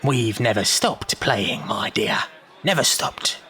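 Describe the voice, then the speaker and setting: An actor playing a wily old leader of child thieves in an audio drama, with an English accent, a gravelly voice and a theatrical, ingratiating manner. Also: male voice, on the low side, animated, bright, forceful tone, heavily acted. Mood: teasing. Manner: theatrical, ingratiating, drawn-out delivery.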